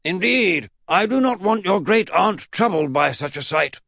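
A man's voice: sits high, animated, a little slow, creaky, a bit bright, no echo, clean studio sound, pitched above the performer's own voice, heavily acted. A man voicing an eccentric, blustering old great-uncle in a comic children's audiobook, pompous and emphatic. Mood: pompous, confident. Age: older.

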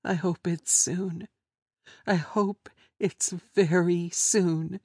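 A man's voice: high voice, steady tone, extremely slow, darkish tone, slightly rough, no room sound, studio quality, voice pushed up, exaggerated voice. A man doing a terrified pleading young woman. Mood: hopeless. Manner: pleading, breaking. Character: frightened, desperate trapped young woman.

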